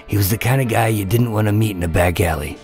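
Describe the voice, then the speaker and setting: A low male voice of an actor plays a nervous undercover agent in a noir radio drama. He tells his story in a wry, deadpan way, with music prominent behind him. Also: quick pace, natural voice. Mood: tense, wry. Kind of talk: first-person noir radio drama narration and dialogue.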